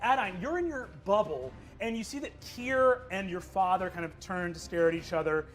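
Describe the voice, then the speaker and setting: A man narrating a tabletop game as game master, in an unhurried, amused way with fillers. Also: high voice, fairly bright tone.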